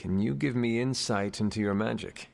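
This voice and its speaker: A man playing a stoic, probing warrior hero in a video game cutscene, with a gravelly voice and formal, deliberate speech. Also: fairly low pitch. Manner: formal, deliberate, few contractions.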